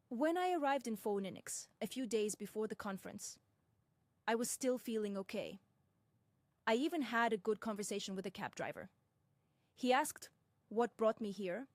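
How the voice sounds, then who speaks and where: A young woman tells her own story in a fairly high voice. She speaks slowly and deliberately and drops in candid side remarks. The recording sounds dry and close. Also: no room sound. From Russia. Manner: measured, deliberate, with frank asides. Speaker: personal commentator. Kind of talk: first-person reflective narration.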